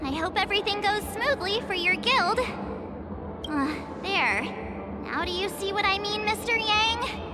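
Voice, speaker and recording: Cheeky, bubbly young heroine. Very high voice, unhurried delivery, big game score behind. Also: female voice. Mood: playful. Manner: perky, animated, playfully smug. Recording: loud game music behind.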